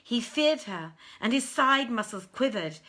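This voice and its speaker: A woman reading a literary tale as a dramatic storyteller, with measured, deliberate phrasing and theatrical pauses. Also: a little high, lively intonation, sharp tone. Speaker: storyteller, audiobook reader.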